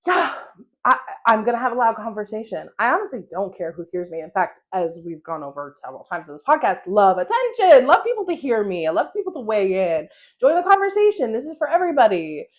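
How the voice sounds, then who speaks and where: A young American woman talks through her solo podcast in a loose, chatty way. Her delivery is animated and full of fillers. She laughs easily as she goes. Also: a little high, brisk. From United States. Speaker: podcast host. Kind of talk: solo casual podcast monologue.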